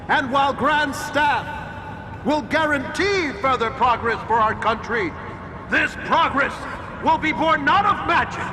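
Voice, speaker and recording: Slow, grandiose royal advisor orating. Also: male voice, very high-pitched, noisy background, exaggerated voice. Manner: grandiose, pompous, oratorical, dramatic pauses. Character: grandiose scheming male royal advisor, pompous orator. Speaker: royal advisor addressing citizens. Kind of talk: theatrical public speech, video game acting.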